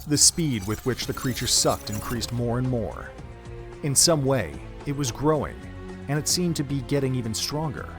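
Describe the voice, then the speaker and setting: Man narrating a dark story. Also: mid-range voice, over music. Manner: steady, measured storytelling. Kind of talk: scripted audio drama narration.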